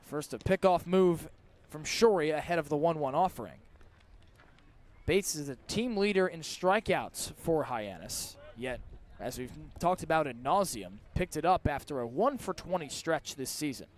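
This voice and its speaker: A man's voice: a little high, expressive, normal speed. A man doing live radio baseball play-by-play outdoors at a ballpark, in clipped, descriptive calls that rise with the action. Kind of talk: live radio sports commentary.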